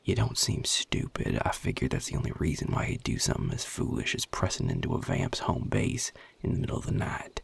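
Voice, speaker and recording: A man voicing a guarded, gruff vampire with a Southern US accent in an audio roleplay, husky and breathy, drawling with sardonic, curt authority. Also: sing-song, fairly quick, hardly any pauses, full character voice. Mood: irritated, sardonic. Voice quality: gravelly, husky, breathy low voice. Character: guarded, gruff Southern male vampire defending his territory. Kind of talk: audio roleplay addressed to the listener.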